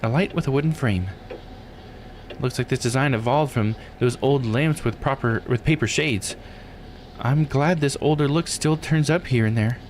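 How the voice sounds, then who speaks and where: A man's voice: medium pitch, normal speed, slight background noise. A man live-streaming a visual novel, reading text aloud and musing casually as he plays. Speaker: game streamer.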